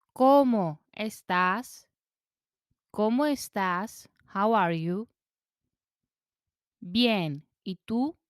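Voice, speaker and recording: A woman's voice: medium pitch, no room sound, studio quality. A woman teaching as a language teacher, reading each phrase in pieces, repeating it and then giving the translation. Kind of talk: slow phrase-by-phrase language drill.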